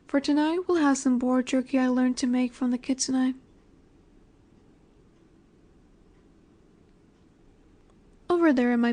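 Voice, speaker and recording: A woman playing a dominant, nurturing snake-woman monster in an intimate roleplay audio, speaking in a gentle, coaxing way that often sinks toward a whisper. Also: high-pitched. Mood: calm, instructive.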